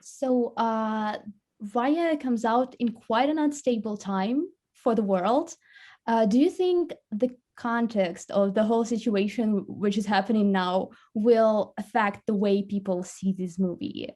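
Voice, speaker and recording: A woman's voice: fairly high pitch, unhurried, a little mellow. A woman interviewer asking questions casually, tentatively feeling her way through each one.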